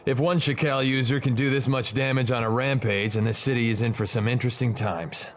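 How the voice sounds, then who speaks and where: A man playing a world-weary but compassionate city guard in an audiobook drama, plain-spoken and gruff. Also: medium pitch, steady tone, a little fast, a little hiss or hum, natural voice. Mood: weary, disgusted.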